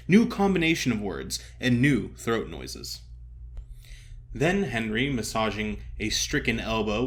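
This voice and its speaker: A man narrating a classic story as a one-man audio drama, with theatrical, deliberate phrasing. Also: mid-range voice.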